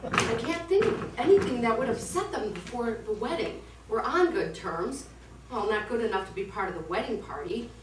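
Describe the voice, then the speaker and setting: Woman playing a high-voiced defensive wife, far from mic. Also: animated, moderate pace, lightly acted. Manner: reasoning, mildly chiding, defensive. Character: defensive, placating wife, a wedding guest. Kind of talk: amateur one-act stage play acting. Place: indoor theatre studio, heard from afar. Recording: roomy distant stage recording, clear noise.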